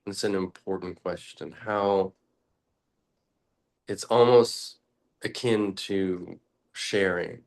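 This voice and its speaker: Very slow, low-voiced male meditation guide. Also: vocal fry. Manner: halting, deliberate, with long pauses between short phrases. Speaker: meditation teacher.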